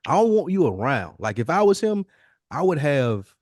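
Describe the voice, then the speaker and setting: A man's voice: a little high, big pitch swings, normal speed, darkish tone, no room sound, clean studio sound. A man chatting casually as a podcast co-host in a slangy, conversational way. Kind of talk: casual podcast chat about hip hop.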